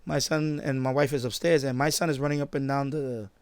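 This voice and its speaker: A man of fifty with a New York accent talks in a mid-pitched, gravelly voice with plenty of fry. He rambles informally, circling back over his phrases as a live streamer does. The sound is dry, with little room in it. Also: steady tone, brisk, no room sound. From born in Puerto Rico, grew up in the Bronx, New York. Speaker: live stream host, shortwave radio hobbyist. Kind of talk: casual live stream chat. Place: basement at home.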